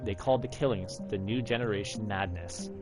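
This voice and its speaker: Low-voiced American man reading over game music. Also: brisk, low-quality recording. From United States, Alaska. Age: 30s. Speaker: gamer streamer reading a visual novel aloud. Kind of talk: dramatic read-aloud narration of game text.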